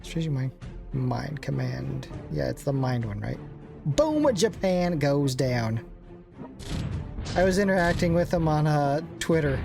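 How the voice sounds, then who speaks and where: A man's voice: on the high side, big pitch swings, a little slow, gravelly. A young man giving casual, rambling commentary on a strategy game, joking and poking fun at himself. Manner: rambling, joking, self-deprecating asides. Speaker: gaming channel host. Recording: game music playing behind. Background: game music.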